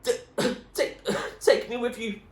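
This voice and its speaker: A man's voice: extremely high pitch, little pitch movement, slow, roomy sound, pitched above the performer's own voice. A man voicing a nervous, simple and eager young boy in a storybook. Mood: desperate.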